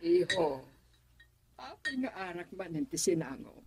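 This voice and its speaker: A woman playing a scheming, doting middle-aged mother in a melodramatic radio drama, with a husky voice. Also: fairly low pitch, very animated, unhurried, slight background noise. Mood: concerned, coaxing.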